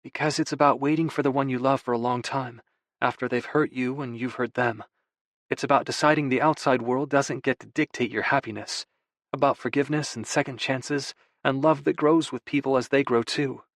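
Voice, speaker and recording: A man voicing a tender, playful young wife with a soft, teasing, affectionate manner in audiobook dialogue. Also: medium pitch, steady tone, brisk, no echo, studio quality, natural voice. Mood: tender, earnest.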